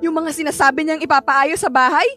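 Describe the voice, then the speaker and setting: A woman's voice: sits high, fairly even intonation, brisk, sharp tone, soft background music. A woman playing a distraught, resentful teenage daughter in a radio drama, pleading and emotional. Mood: hurt, resentful.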